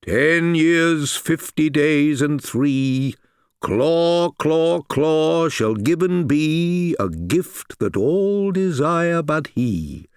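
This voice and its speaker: A man voicing a mocking prophetic Sibyl, a woman oracle chanting slow, stammering verse in an audiobook drama. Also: on the high side, expressive, clear, resonant tone, no room sound, studio quality, voice pushed up, exaggerated voice. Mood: ominous, incantatory.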